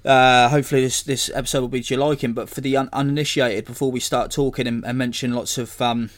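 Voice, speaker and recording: A hoarse man, hosting a casual solo podcast and rambling as he corrects himself. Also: mid-range voice, flat delivery, fast talker. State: hoarse from illness. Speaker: podcast host.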